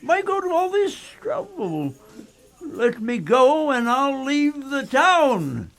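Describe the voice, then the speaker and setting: High, creaky, haunted old murderer. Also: male voice, huge pitch swings, fairly bright tone, full character voice. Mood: tormented, pleading. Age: older. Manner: pleading, repetitive and halting. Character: desperate, haunted old murderer begging for mercy. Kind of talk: radio play acting.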